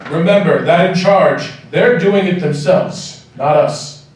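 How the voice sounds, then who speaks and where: An actor playing a commanding, jovial giant Christmas ghost in a live radio drama, with booming, declamatory delivery. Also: male voice, slow. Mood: solemn, stern. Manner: booming, declamatory stage projection. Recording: very echoey hall, distant mic.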